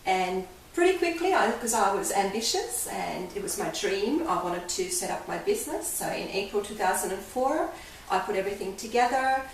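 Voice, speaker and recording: A woman's voice: mid-range voice, sing-song, moderate pace, few pauses. Older Hungarian-accented woman in an echoey room. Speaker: life coach guest. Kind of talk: candid interview. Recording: echoey room, mic far off, faint hiss.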